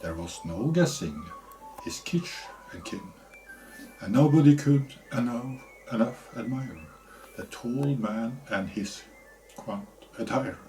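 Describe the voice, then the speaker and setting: A man, a native Norwegian speaker, reading verse aloud deliberately in short, broken phrases. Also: medium pitch, lively intonation, dark tone, soft background music, poor audio. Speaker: amateur reader. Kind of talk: verse recitation.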